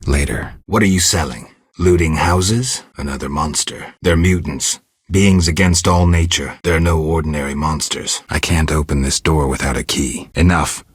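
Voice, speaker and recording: A man playing a stoic, weary monster hunter in a video game, terse and deadpan, with a raspy voice. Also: dry recording, clean studio sound, heavily acted. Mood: dry, calm. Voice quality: raspy, gravelly low voice. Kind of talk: video game character dialogue.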